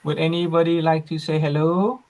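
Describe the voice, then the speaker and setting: A man hosting an online class on a video call, slow and reflective, with frequent fillers. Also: fairly high pitch, level tone, a little mellow, rough recording.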